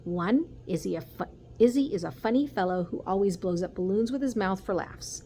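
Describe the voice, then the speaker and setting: Serious storybook police chief father read by a woman, calm, methodical, gentle, slightly noisy recording. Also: medium pitch, fairly quick, lightly acted. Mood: measured. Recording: noticeable background noise.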